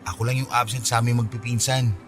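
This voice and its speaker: An actor playing an eager, caring, shyly smitten young office worker in a Filipino radio drama, with a Filipino accent. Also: male voice, mid-range voice, little pitch movement, fast, music in the background, lightly acted. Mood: friendly, coaxing. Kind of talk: radio drama acting in Taglish.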